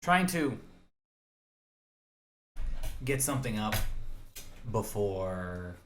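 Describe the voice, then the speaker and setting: A young man with a lowish voice talks alone into his mic for his podcast. He rambles casually, stopping and restarting as he thinks aloud and hunts for words. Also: sing-song, extremely slow, over music. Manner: hesitant, thinking aloud with many fillers and restarts. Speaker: solo podcaster.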